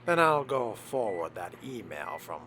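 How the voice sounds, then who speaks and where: Brief: male game role-player, lowish voice, unhurried formal delivery, often dropping to a murmur, noisy background. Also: sing-song, bright, forceful tone. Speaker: game player narrating in character. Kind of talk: role-play game commentary, reading aloud.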